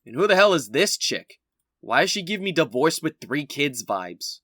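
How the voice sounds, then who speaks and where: A man's voice: fairly high pitch, very animated, moderate pace. A man playing a jittery wisecracker, heavy echo. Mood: mocking. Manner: wisecracking, hesitant, swearing. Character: sarcastic, jittery foul-mouthed kidnapped man. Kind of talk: audiobook character acting. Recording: echoey hall reverb, loud music behind. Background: music.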